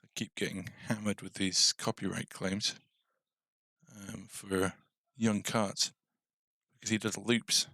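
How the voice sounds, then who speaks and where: A man's voice: fairly low pitch, little pitch movement, slow pace, pushed and bright, dry recording, clean studio sound. A man casually thinking aloud as he hosts a game coding tutorial livestream. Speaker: game development tutorial host.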